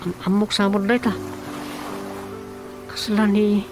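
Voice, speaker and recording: A man's voice: high voice, flat delivery, takes it slowly, music in the background. An actor with an Ilocano accent playing a chatty, curious townsman in a radio drama.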